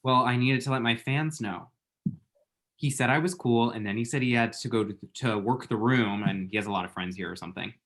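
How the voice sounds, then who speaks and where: A man playing a flustered, lovesick young man at a comedy screenplay read, halting and awkward. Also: mid-range voice, a bit bright, close to an everyday voice. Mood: sheepish. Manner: halting, awkward, self-mocking.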